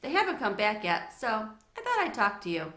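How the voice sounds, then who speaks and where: A woman narrating a children's story aloud in an expressive read-aloud style. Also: fairly high pitch, moderate pace, reverberant.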